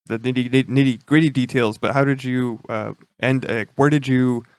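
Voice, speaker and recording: A podcast host asking long, hesitant questions full of fillers. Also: male voice, medium pitch, fairly even intonation, normal speed, no room sound, studio quality. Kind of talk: interview questions.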